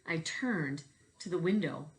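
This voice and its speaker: A woman's voice: low-pitched, lively intonation, a little slow. A woman reading a storybook aloud in the manner of a teacher addressing children.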